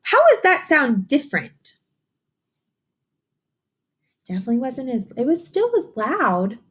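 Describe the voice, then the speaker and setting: A woman teacher reading a picture book aloud to young children, enthusiastic and encouraging, with expressive phrasing and simple questions for her listeners. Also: high-pitched, normal speed, husky. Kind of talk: read-aloud lesson addressed to young children.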